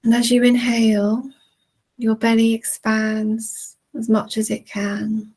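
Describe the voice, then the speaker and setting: A woman leading a guided relaxation in a soothing, gentle manner with long, unhurried pauses. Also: a little high, monotone, low-quality recording. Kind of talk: guided relaxation, meditation narration.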